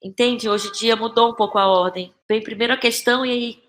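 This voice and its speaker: A woman with a Brazilian accent answering reflectively as an interview guest, thoughtful and often pausing to search for words. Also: on the high side, steady tone, a bit bright. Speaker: guest playwright and actress.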